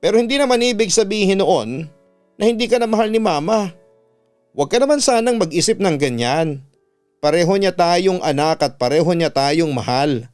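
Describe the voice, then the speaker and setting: A Filipino man voicing a gentle, hurt younger sister who speaks earnestly and pleadingly in a narrated radio drama story. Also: sits high, animated, normal speed, soft background music, voice pushed up. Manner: earnest, plaintive.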